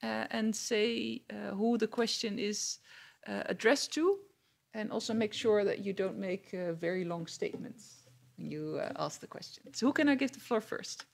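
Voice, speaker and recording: Dutch woman moderating, gravelly creaky voice. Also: medium pitch. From Netherlands. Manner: halting, hesitant phrasing. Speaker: panel moderator. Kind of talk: moderating a public panel discussion.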